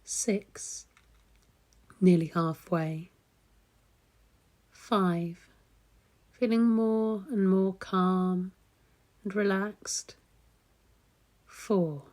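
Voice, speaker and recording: A woman guides a meditation at a very slow pace, leaving long pauses between phrases. Her voice is mid-pitched, mellow and relaxed. The delivery is gentle and soothing throughout.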